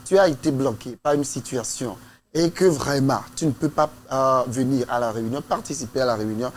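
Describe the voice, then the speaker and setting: Une femme à l'togolais, invitée d'un groupe de jeunes d'église, parle avec sérieux et quelques hésitations lors d'une discussion en studio. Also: female voice, rumbling low, slight background noise. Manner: earnest, measured, with hesitations. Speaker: studio guest from a church youth group.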